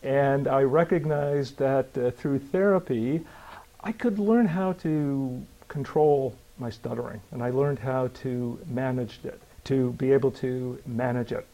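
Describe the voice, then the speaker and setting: An older man telling his own story in a measured, reflective way, with fillers and a slight stammer in places. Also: mid-range voice, warm tone, low-quality recording. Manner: measured, thoughtful, with pauses and fillers.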